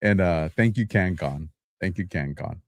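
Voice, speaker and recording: A man's voice: deep voice, moderate pace, vocal fry, dry recording, studio quality. A man co-hosting a podcast in casual chat, rambling through a story in a self-deprecating way. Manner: rambling, self-deprecating, frequent restarts and laughs. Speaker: podcast co-host.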